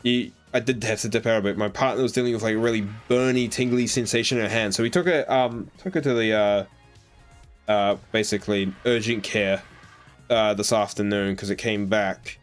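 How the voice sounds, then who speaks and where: A man's voice: lowish voice, fairly even intonation, fairly quick, soft background music. A man with an Australian accent chatting casually on a live game stream, rambling with lots of fillers as he answers his chat. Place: home in New Zealand.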